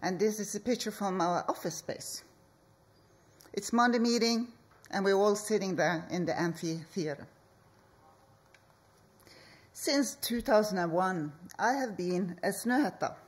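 A woman architect, giving a conference presentation from a stage podium in slow, measured phrases with deliberate pauses. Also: mid-range voice. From Norway. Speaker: architect and project leader.

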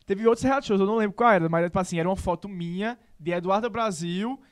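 A young man from Paraíba with a Northeastern Brazilian accent, telling his story animatedly as a guest in a small podcast studio. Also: high voice. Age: young adult. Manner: animated, quick storytelling with slang fillers. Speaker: guest artist. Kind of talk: casual interview storytelling. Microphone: studio mic on boom arm.

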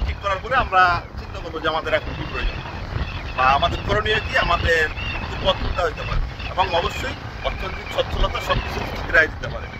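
A man in his thirties talking into a phone held at arm's length on a village road, thinking out loud and rambling with restarts. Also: high-pitched. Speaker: vlogger. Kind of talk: casual reflective monologue. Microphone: phone selfie camera at arm's length. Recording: noisy outdoor phone recording.

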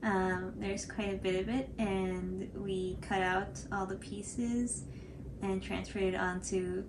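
A woman's voice: mid-range voice, fairly even intonation, slow pace, noisy background. A woman in her twenties with an American accent, co-hosting a craft tutorial in a casual, chatty style. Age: 20s. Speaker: craft video co-host. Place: small indoor room at home, on a couch.

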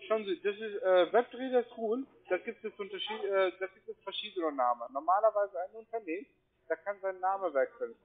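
High-pitched foreign-accented man on the phone. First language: not German. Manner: repetitive, insistent, halting non-native phrasing. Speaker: call center agent selling trading courses. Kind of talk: persuasive phone sales talk. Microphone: telephone. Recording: tinny, band-limited phone line.

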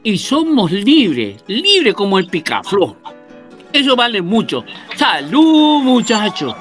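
Guy doing a proud old villager giving a toast, high lively voice, guitar behind. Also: heavily acted. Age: older. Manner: slow, rousing, toasting. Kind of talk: radio drama acting over video call.

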